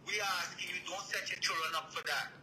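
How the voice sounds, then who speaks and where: A Trinidadian man speaks over a remote call with a high, very sharp voice. He is blunt and insistent, persuading through questions he puts to himself. Faint noise sits on the line. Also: fairly even intonation, fast. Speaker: call-in guest expert. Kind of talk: persuasive explanatory talk. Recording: thin, noisy remote call.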